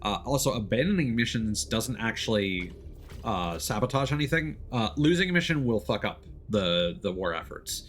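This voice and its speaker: A man's voice: fairly low pitch, expressive, moderate pace, a bit bright, a little hiss or hum. A man livestreaming a game, casually explaining how it works in a hesitant, thinking-aloud way. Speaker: video game streamer. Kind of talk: casual gameplay commentary, explaining mechanics.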